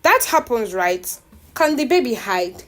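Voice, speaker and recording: A woman's voice: fairly high pitch, big pitch swings, measured pace, fairly bright tone. A woman voicing an uneasy, doubting young man in a story, hesitant and serious. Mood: wavering doubt.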